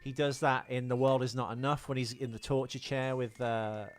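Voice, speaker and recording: A man's voice: mid-range voice, level tone, moderate pace, a little hiss or hum. A man co-hosting a podcast in casual film chat, opinionated and wisecracking in a dry way. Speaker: podcast co-host.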